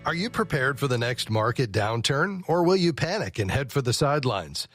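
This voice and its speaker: A man's voice: mid-range voice, very animated, normal speed, fairly bright tone, dry recording, studio quality, faint music behind. A man presenting a scripted financial advice segment for radio.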